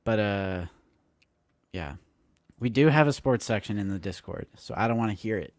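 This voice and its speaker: A man's voice: lowish voice, moderate pace, no echo, studio quality. A man in his late twenties co-hosting a live stream, chatting casually and joking, acting out quotes. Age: late 20s. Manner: animated and joking, acts out quotes. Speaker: co-host of a toy collecting live stream.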